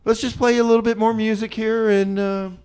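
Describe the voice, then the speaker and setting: A man hosting a community radio show in a casual, improvised style, often halting as he talks. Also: sits high, flat delivery, a little mellow, clear, resonant tone.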